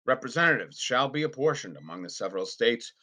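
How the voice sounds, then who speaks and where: A man reading a formal legal document aloud in an unrehearsed, deliberate and emphatic way, with the occasional stumble. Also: medium pitch, big pitch swings, bright, forceful tone. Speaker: reader.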